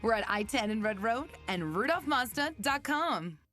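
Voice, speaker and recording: Female ad announcer, lively pitch swings, higher voice, music bed. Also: moderate pace. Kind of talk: upbeat car dealer commercial.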